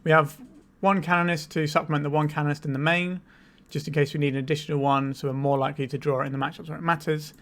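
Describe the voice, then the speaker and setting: Slightly high-voiced man with a cold. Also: quick pace. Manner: relaxed, explanatory, thinking aloud. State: has a cold, a bit tired. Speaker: channel host, card game player. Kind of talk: casual gameplay commentary.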